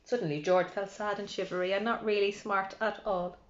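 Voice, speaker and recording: A woman reading a picture book aloud to young children in an animated way, prompting them with questions. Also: medium pitch, a little fast, highs cut off.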